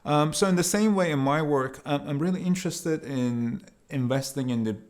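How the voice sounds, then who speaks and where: A man from Iran giving an explanatory talk as a sound artist, in measured, hesitant phrases with pauses. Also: fairly high pitch, darkish tone. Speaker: sound artist presenting own work.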